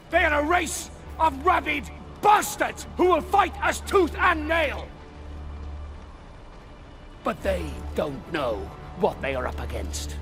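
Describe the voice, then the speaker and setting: An actor playing a fierce Roman officer rallying his legionaries in a video game, declamatory and forceful. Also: extremely high pitch, full character voice. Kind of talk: rousing battlefield oratory in a video game.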